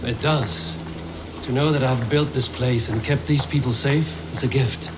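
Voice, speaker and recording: A man's voice: mid-range voice, steady tone, measured pace, background noise, close to an everyday voice. A man playing a stoic, loyal Viking clan leader in a video game, speaking plainly and with restraint. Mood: reserved, earnest. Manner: restrained, plain-spoken, earnest. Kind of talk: dramatic video game acting.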